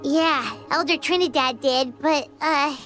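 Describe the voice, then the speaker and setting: A woman voicing a small, bubbly, childlike fairy companion in a video game, excitable and squeaky. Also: slow, over music. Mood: eager, bubbly. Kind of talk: video game cartoon voice acting.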